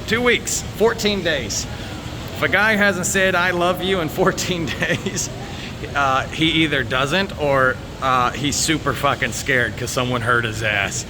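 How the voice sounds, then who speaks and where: An American man chatting casually and candidly to viewers, rambling and haltingly, with plenty of swearing. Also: fairly high pitch, background noise. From United States. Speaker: vlogger.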